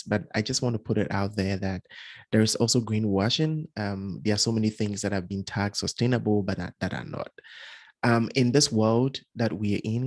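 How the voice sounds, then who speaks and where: Earnest low-voiced man, guest in a talk. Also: a little mellow, dry recording. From Ghana. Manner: earnest, repeats words, laughs often. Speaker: guest, environmental engineer. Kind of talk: casual interview talk.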